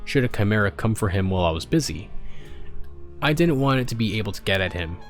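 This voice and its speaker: Low-voiced man reading a story over music. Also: animated, fast talker. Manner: stumbles and rereads lines. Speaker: fan fiction reader. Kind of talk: storybook narration read aloud.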